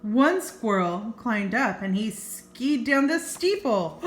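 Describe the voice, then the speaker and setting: A woman's voice: on the high side, expressive, drawn-out words, slight background noise. An older woman reading a children's story aloud as a grandmother, expressively, with chatty asides and exclamations. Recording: roomy, echoing home recording.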